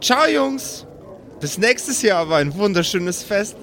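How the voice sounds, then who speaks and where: A man's voice: sits high, very animated, a little slow, fairly bright tone, voice pushed up, heavily acted. A man voicing a mischievous, pouting young woman, a defiant and teasing little sister in a tabletop game. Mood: cheerful.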